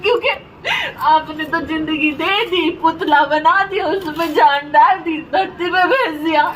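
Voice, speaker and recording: Sobbing, very high-voiced young woman, distraught. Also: fairly even intonation, measured pace, a bit bright, a little hiss or hum, low-quality recording. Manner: pleading, repeats anguished questions. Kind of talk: emotional outpouring to camera and to God.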